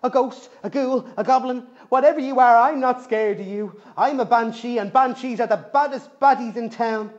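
A man's voice: extremely high pitch, normal speed, hardly any pauses, pitched above the performer's own voice. A man voicing a timid teenage banshee girl. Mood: fake brave. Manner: anxious, pleading, nervous. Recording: dull lo-fi recording.

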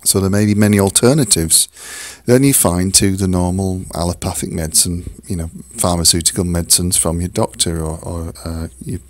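A man with a hoarse, croaky voice hosting a solo podcast, talking haltingly with many fillers and restarts. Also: deep voice, steady tone, dry recording. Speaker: podcast host. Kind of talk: casual solo podcast talk.

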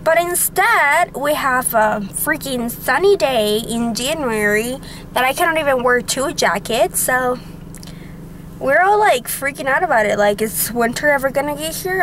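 A woman's voice: high voice, normal speed, slight background noise, poor audio. A young woman from Utah chatting casually on a vlog, full of "like" and "um", filmed on a handheld camera in her car and then in her bedroom. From Utah, USA. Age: young adult. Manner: chatty, lots of "like" and "um", excitable. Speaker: vlogger. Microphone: handheld camera at arm's length.

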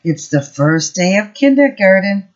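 A woman voicing a patient, reassuring mother mouse in a picture-book story, in a gentle, instructive way. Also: mid-range voice, expressive, a little slow, highs cut off, lightly acted.

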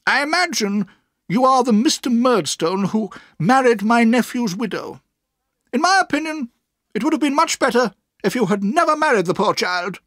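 A narrator voicing a stern, sharp-tongued older woman, an aunt with an emphatic, commanding manner, in a storybook reading. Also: mid-range voice, sing-song, unhurried, no room sound, studio quality, pitched above the performer's own voice. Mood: sharp, crisp.

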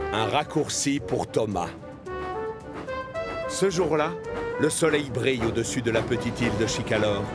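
Male storybook narrator over music. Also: mid-range voice. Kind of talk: scripted children's story narration.

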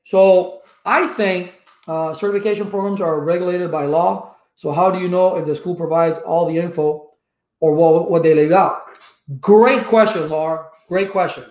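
A man's voice: high voice, normal speed, echoey room. A man in his fifties, Cuban-born with Spanish as his first language, talking animatedly to viewers from a home office with a webcam. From Cuba. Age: 50s. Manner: animated, gestures a lot, rhetorical questions. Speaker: network engineering educator. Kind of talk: casual livestream talk to viewers. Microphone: webcam at desk.

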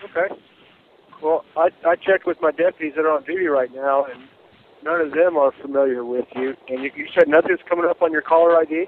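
A man's voice: a little high, a little fast. A man speaking as a sheriff's officer on an official phone call, polite and matter-of-fact. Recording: noisy, tinny phone line.

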